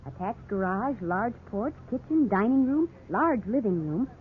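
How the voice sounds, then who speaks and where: A woman's voice: on the high side, animated, measured pace. An actress playing an anxious but firm wife and mother, pleading and scolding in 1950s radio drama style. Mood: eager, coaxing. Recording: old noisy 1950s radio, narrow band.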